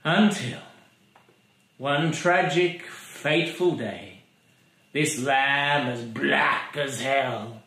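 A man's voice: on the high side, pushed and bright. A man in his thirties giving a dramatic, mock-solemn comic poetry reading. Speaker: poetry reader. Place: living room by a fireplace. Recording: roomy camera sound.

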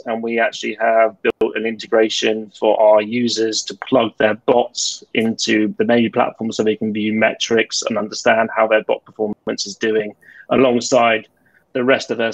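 A man's voice: on the low side, no room sound. A middle-aged British man introducing himself on a video call in a fluent, businesslike way. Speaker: panelist, co-founder. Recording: dry, dull webcam sound.

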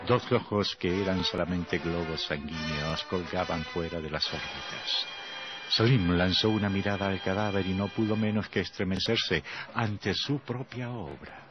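A man's voice: sits low, measured pace. An actor playing a grim, sinister male horror storyteller, narrating a radio drama with macabre relish. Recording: muffled old radio recording with music.

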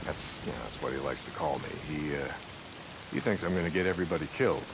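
An older American man playing a weary, peace-minded leader of a survivor camp in a game cutscene, speaking plainly and haltingly. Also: very deep voice, creaky, noisy background. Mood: earnest, firm. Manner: halting, reflective, plain-spoken. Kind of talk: dramatic video game cutscene acting.

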